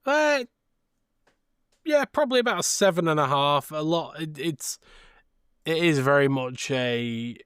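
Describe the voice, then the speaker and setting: A man's voice: on the high side, lively intonation, a little slow, long pauses, fairly bright tone, smooth, no echo, studio quality. A man co-hosting a film podcast, chatting in an understated, informal way. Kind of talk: casual film chat.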